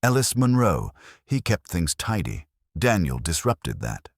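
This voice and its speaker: A man's voice: low voice, sing-song, measured pace, no echo, studio quality, voice pushed up, exaggerated voice. A man voicing a cool, guarded professional woman in a detective audiobook, with clipped, composed, precise speech. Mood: cool, pointed. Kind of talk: detective audiobook character dialogue.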